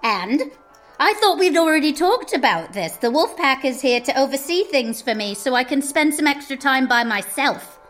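Imperious monarch: high, very animated, brusque and teasing, audio drama delivery. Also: female voice, moderate pace, soft background music. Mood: impatient. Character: imperious, dismissive, sly female ruler. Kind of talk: scripted audio drama acting.

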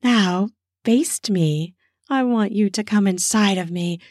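A woman voicing an eager young female lover, breathy and pleading. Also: mid-range voice, slow pace, darkish tone, no echo, clean studio sound.

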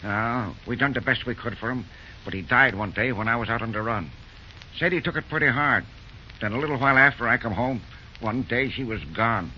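A man acting in an old-time radio drama as a humble, wistful drifting railroad fireman, with an American accent, folksy rustic grammar and a halting delivery. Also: mid-range voice, sharp tone. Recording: dull, noisy old radio recording.

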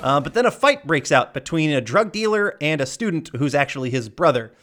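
A man's voice: fairly high pitch, very animated, a little fast, fairly bright tone, soft background music. A man co-hosting a podcast in casual chat, rambling through a film's scenes with playful quoted lines, laughing and swearing now and then. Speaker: podcast co-host.

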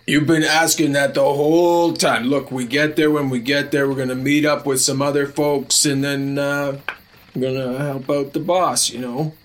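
A man's voice: medium pitch, steady tone, normal speed, pitched above the performer's own voice, lightly acted. Man playing an exasperated, hedging cult raider. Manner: impatient, hedging, casual slangy talk. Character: gruff, exasperated cult raider, reassuring and evasive.

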